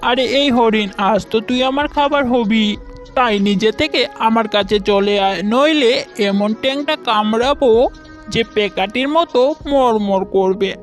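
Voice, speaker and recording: A woman's voice: a little high, unhurried, soft background music, lightly acted. A woman voicing a boastful, bullying lion king in a children's cartoon, blustering and exclamatory. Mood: gloating, menacing. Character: bullying, boastful lion king who turns wailing coward.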